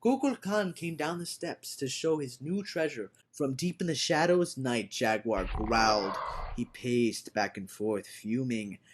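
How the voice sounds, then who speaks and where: A man reading a storybook aloud as a dramatic, theatrical storyteller who moves between hushed and loud delivery. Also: mid-range voice, a little slow, slight background noise. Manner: theatrical, swinging from hushed to shouting. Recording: orchestral music behind the voice. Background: orchestral music.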